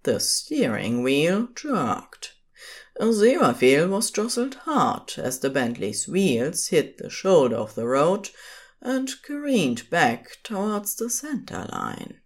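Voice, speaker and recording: A woman's voice: fairly low pitch, very animated, slow. A woman reading scripted fiction as the narrator of an audio drama.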